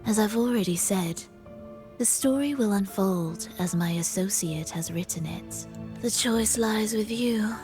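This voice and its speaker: A woman voicing a composed, cryptic and softly ominous otherworldly lady in a video game, with measured, formal, breathy delivery. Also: medium pitch, lightly acted. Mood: calmly enigmatic. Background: background music.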